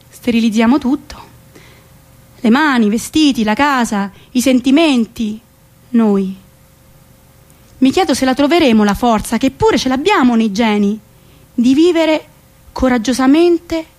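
High-voiced, spirited young woman, played by an actress. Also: darkish tone. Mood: earnest, reflective. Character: spirited, slightly eccentric young woman of today. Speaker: stage actress. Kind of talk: theatrical monologue for a radio play.

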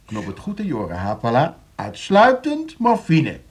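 Self-assured host, animated higher voice. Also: male voice, normal speed. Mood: quiet, ominous. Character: self-assured jurist host, genial on top, coolly provocative beneath. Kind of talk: Dutch radio play acting. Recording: noisy old radio play recording, clicks.

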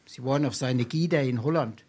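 An actor playing a boozy, penniless charmer in a Darmstadt dialect stage comedy, florid and ingratiating, with some stammering. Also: male voice, medium pitch, brisk, a little mellow, breathy edge, natural voice. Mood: mock mournful. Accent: Darmstadt Hessian. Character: boozy, penniless, wheedling charmer, a theatrical scrounger.

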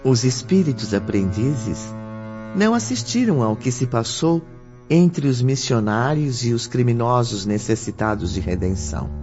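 A man's voice: mid-range voice, lively intonation, unhurried. A Brazilian man delivering scripted narration for a radio drama. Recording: dull lo-fi, faint music.

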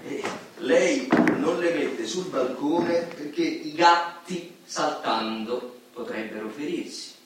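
Slow, deliberate male narrator, echoey. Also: fairly high pitch. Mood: descriptive. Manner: deliberate, phrase by phrase. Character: male narrator, a professor observing the neighborhood. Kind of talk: literary stage narration. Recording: echoey hall, distant mic, noisy.

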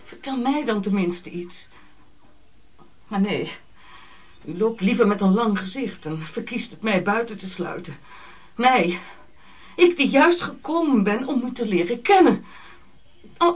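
Husky, emotional colonial lady. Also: fairly high pitch, exaggerated voice. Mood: pleading, indignant, then hurt, accusing. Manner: dramatic, halting, repeating herself. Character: proud, emotional colonial lady, easily wounded, effusive. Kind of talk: radio play acting.

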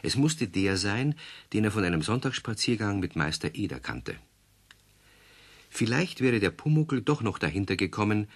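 A man narrating a children's radio play in a measured storytelling way. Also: low voice. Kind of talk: scripted narration.

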